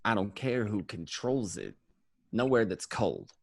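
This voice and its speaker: A man playing a weary mobster turned informant, telling his story in a conversational, streetwise, halting way. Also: lowish voice, wildly swooping pitch, vocal fry, no echo, full character voice. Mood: irritated. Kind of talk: first-person crime narration, acted table read.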